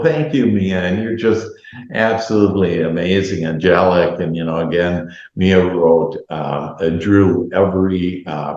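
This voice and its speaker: Host guy, very low voice, rambles with lots of ums, echoey dull-sounding room. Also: a little mellow. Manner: rambling, halting, heavy fillers. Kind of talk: casual video chat.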